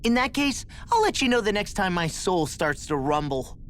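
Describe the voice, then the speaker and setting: A woman's voice: mid-range voice, very animated, moderate pace, slight background noise, lightly acted. A woman voicing a wry, teasing young fighter in a video game, casual and sardonic. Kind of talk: video game dramatic acting.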